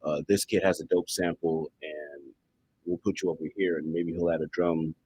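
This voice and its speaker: Deep-voiced, easygoing man on a podcast. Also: fairly even intonation, normal speed, noisy background. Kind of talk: casual podcast discussion. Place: indoor podcast studio.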